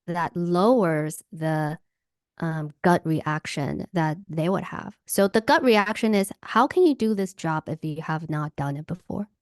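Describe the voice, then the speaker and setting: Woman coach from Hawaii, animated, dry video-call sound. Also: deep voice, a little slow, no room sound. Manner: animated, acts out enthusiastic quotes. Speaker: workshop speaker and coach. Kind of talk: answering questions in an online workshop.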